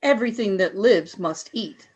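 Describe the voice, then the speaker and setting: A woman playing a calm, matter-of-fact alien commander in an amateur radio play. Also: medium pitch, normal speed, slightly rough, lightly acted.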